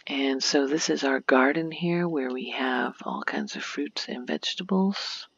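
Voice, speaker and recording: A woman softly narrating an ASMR video game tour in a breathy, near-whispered voice, explaining gently and without hurry. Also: rumbling low, lively intonation, a little slow, lo-fi sound.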